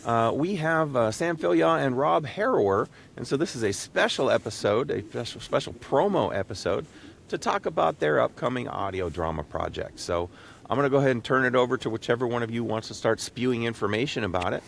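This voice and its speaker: A man hosting a casual podcast interview, speaking in a halting, folksy way with frequent restarts. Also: animated, vocal fry. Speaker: podcast host. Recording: low rumbling background noise.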